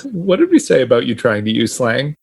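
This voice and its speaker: A man's voice: mid-range voice, huge pitch swings, brisk, vocal fry, breathy edge, heavily acted. A man playing an affectionate, apologetic, teasing boyfriend in a comedic audio drama. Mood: sheepish, tender.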